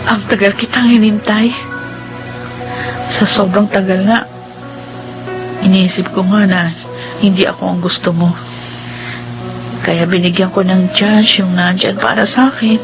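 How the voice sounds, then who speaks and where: An actress playing a gentle, wistful blind young wife in a Filipino radio drama, with a Filipino accent and a soft-hearted conversational manner. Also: female voice, medium pitch, fairly even intonation, a little slow, long pauses, dull audio, music in the background, natural voice. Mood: tender, regretful.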